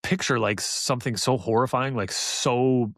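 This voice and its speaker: A man's voice: medium pitch, steady tone, moderate pace, fairly bright tone, no echo, clean studio sound. A man co-hosting a podcast, chatting casually and enthusiastically with emphatic praise. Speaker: podcast host. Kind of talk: casual review chat.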